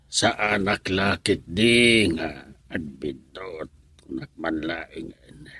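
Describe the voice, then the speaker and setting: A man acting an elderly grandfather in an Ilocano radio drama, caring but firm, with a gravelly, husky, halting voice. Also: on the low side, fairly bright tone, dry recording, full character voice. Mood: firm, probing. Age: older. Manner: slow, halting, deliberate.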